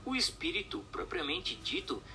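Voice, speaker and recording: A man's voice: sits high, big pitch swings, normal speed, pushed and bright, faint music behind. A man narrating a scripted, dramatized book reading in a formal, declamatory way, posing questions and reading out commentary.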